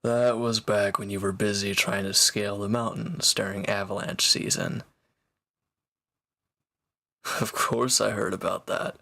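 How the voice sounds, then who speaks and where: Man acting a low, unhurried, sardonic villain. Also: little pitch movement, vocal fry, sharp tone, full character voice. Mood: dry, matter-of-fact. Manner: dry, teasing, casual delivery with sighs. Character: sardonic, teasing reformed male villain recovering from wounds. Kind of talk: intimate roleplay audio drama, one-sided dialogue.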